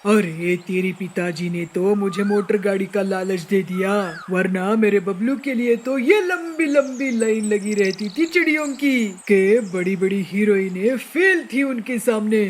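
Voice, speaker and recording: A woman's voice: medium pitch, measured pace, hardly any pauses, a little hiss or hum, lightly acted. A woman voicing an older mother-in-law bird in a cartoon story, taunting and boastful in a mocking, nagging way. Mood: scornful boasting.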